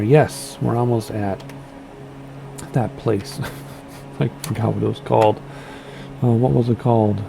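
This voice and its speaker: A man's voice: fairly low pitch, vocal fry, low-quality recording. A man casually commenting on a flight sim game and reading place notes aloud, losing his voice and stumbling over names with a playful, rambling manner. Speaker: gaming streamer.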